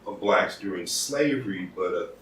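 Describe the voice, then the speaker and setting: American man, law professor in an interview, lower voice, thoughtful, restarts often, roomy echo, set back from the mic, faint room hiss. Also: lively intonation, normal speed, fairly bright tone. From United States. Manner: thoughtful, with frequent restarts and repeated words. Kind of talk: conversational interview. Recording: echoey room, mic at a distance.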